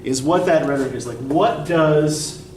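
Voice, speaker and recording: A man's voice: on the high side, a little slow, darkish tone, far from the mic, a little hiss or hum. A young man from Eastern Pennsylvania teaching a college writing class, talking casually, rambling and self-deprecating, often checking in with "right?". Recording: echoey classroom recorded from afar.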